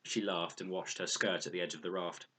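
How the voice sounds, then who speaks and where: A man reading a children's novel aloud as an expressive storyteller. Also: deep voice, brisk, breathy edge. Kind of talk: expressive children's audiobook narration.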